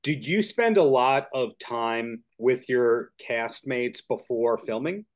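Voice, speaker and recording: A man's voice: mid-range voice, slow. A man hosting a podcast interview over a video call, asking long, chatty, joking questions. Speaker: podcast host.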